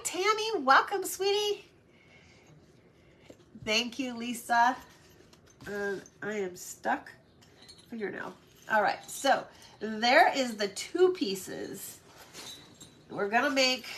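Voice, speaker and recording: A woman's voice: mid-range voice, fairly bright tone, a little hiss or hum. A middle-aged woman hosting a casual craft livestream, chatty and friendly, greeting viewers by name, her voice stuffed up and nasal from sinus congestion.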